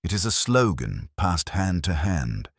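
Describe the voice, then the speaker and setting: A man's voice: low-pitched, big pitch swings, measured pace, breathy edge, dry recording, clean studio sound, exaggerated voice. A man voicing an imperious older genius detective with a pompous, terse, oracular manner in an audiobook mystery. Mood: commanding.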